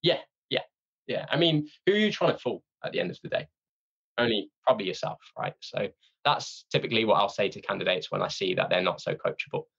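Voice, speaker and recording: Low gravelly man on lo-fi audio. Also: quick pace. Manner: energetic, conversational, repeats words when restarting. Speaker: founder of a sales training company. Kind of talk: podcast interview answers.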